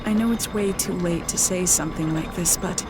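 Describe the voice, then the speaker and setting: A woman plays a regretful, bitter woman in a video game drama. Her voice sits slightly low. Very loud music runs underneath. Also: moderate pace, close to an everyday voice.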